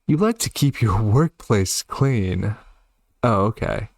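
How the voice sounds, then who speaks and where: A man hosting a horror game playthrough, reading and commenting in an expressive, theatrical way. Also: on the low side, slow pace, creaky, a little hiss or hum. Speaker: gaming video host.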